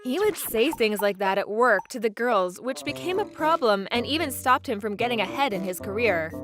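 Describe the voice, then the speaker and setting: A woman narrating a first-person manga dub story in an expressive, theatrical way. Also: a little high, moderate pace, soft background music. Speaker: narrator and voice actor.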